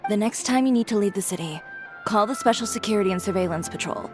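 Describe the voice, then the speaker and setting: A woman's voice: mid-range voice, fast talker, natural voice. A woman voicing a confident, ambitious fashion designer in a game, speaking in a cool, matter-of-fact way. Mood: assured. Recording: game audio with music underneath.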